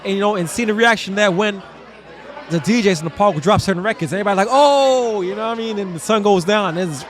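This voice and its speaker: Fast-talking high-voiced New man. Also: a faint crowd. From Trinidad. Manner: animated streetwise talk, keeps saying "you know what I mean". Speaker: guest DJ. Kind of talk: casual interview chat. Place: outdoors.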